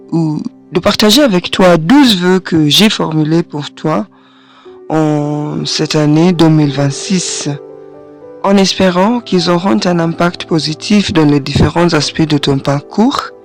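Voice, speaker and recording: A woman in her thirties hosting a solo podcast from a small studio, hoarse from a cold, speaking gently and encouragingly with hesitant pauses. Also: low-pitched. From Kenya. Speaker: podcast host and French teacher. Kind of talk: solo podcast monologue addressing the listener. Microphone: studio microphone.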